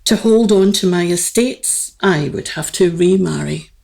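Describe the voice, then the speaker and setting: A woman's voice: on the low side, unhurried, gravelly, a little mellow. A woman playing an aristocratic, lovelorn queen, grand yet girlish, with stately, formal theatrical diction in a comic radio play. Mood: wistful.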